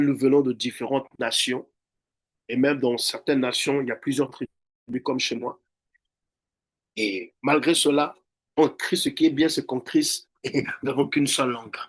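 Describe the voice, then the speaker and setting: A man's voice: mid-range voice, husky. A man preaching and leading prayer as a pastor would, emphatic and repetitive in his exhortation and chanted prayer. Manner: emphatic, repeats words and phrases.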